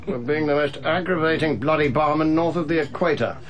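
An actor playing a world-weary, haughty senior civil servant in a radio drama, with an urbane, sardonic English accent. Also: male voice, on the high side, brisk, dull audio, crowd noise, natural voice.

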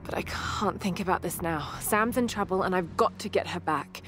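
An actress playing a shaken but determined young adventurer, narrating her survival journal in a game with a breathy voice that often drops toward a whisper. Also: on the low side, moderate pace, a bit bright, natural voice. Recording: music behind the voice.

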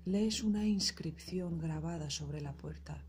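Slow, low-voiced woman guiding meditation over music. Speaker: guided meditation narrator. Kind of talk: guided meditation, scripted narration. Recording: loud percussive meditation music behind voice.

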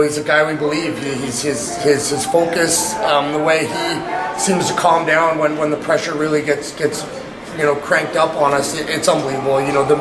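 A man in his thirties, a hockey head coach, answering post-game media questions in halting, repetitive phrasing. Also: a little high, echoey room, noisy background. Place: indoors in a small media room before a sponsor backdrop.

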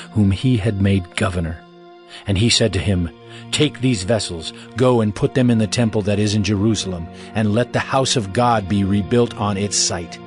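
An actor playing a dignified, devout male elder, solemn and measured, in a dramatized scripture reading. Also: fairly low pitch, natural voice. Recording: music bed behind the voice.

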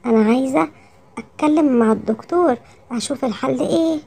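A woman's voice: high voice, fast talker, creaky, mellow. A woman voicing a caring, fretful mother lioness with an Egyptian accent in a children's cartoon story, admonishing and repeating words. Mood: exasperated.

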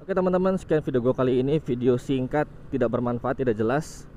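A man's voice: medium pitch, fast. Young man, riding commentary, helmet mic, faint traffic hum. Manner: chatty, relaxed, informal with frequent "guys". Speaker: motovlog host. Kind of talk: casual motovlog commentary while riding. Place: on a motorcycle on a city road outdoors.

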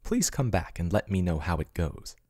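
A soft-spoken male AI chatbot, played by a man murmurs with intimate, doting care that turns possessive. His voice is very low and breathy, with a lively, swooping melody. The sound is dry and close, like ASMR roleplay. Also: normal speed, no room sound. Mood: gentle calm. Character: male AI chatbot, soothing then possessive yandere.

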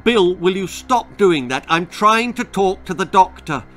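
Man acting out a shrill distraught mother. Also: animated, a little slow, bright, forceful tone, faint music behind, heavily acted. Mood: exasperated, shocked. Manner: wailing, exclaiming. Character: distraught, scolding mother of a young boy.

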